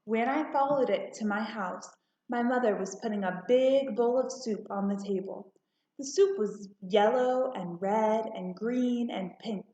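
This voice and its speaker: A woman narrating a children's storybook aloud in a deliberate, expressive way. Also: fairly high pitch. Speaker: storybook reader. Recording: roomy, slightly muffled home recording.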